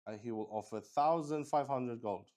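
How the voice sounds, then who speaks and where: A man improvising game narration for a solo tabletop roleplay stream, musing out loud in a halting way. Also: mid-range voice. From Luxembourg.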